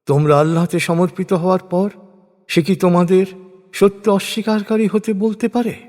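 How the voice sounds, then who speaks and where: A man reading a scripture translation as a solemn, formal scripted narration, with measured and deliberate phrasing. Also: high voice, fairly even intonation, darkish tone. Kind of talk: solemn scripted religious recitation in prose. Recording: long hall-like reverb.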